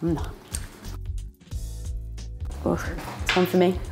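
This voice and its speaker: A woman's voice: fairly low pitch, completely flat delivery, drawn-out words. Young woman, northern English accent, playful chatty cooking talk, kitchen, faint music behind. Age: young adult. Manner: chatty, playful, laughs often, sound words. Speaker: student cooking presenter. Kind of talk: casual cooking demonstration. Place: small indoor kitchen.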